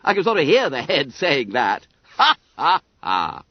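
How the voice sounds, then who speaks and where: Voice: mid-range voice, very animated, moderate pace, slightly rough, no room sound, muffled recording, pitched above the performer's own voice. Sceptical, jeering schoolboy, voiced by a storybook reader. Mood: sceptical, mocking. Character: sceptical schoolboys, incredulous and mocking.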